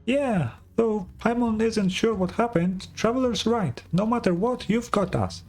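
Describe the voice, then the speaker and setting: A man voicing a small, excitable, childlike female fairy companion from a video game, in a chirpy manner. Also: high voice, unhurried, soft background music, voice pushed up. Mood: chirpy, reassuring. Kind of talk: video game dialogue read aloud.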